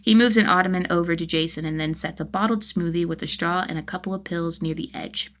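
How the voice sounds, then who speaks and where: A woman with a somewhat low voice reads fiction aloud. Her delivery is that of an expressive audiobook narrator working from a script. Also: fast talker.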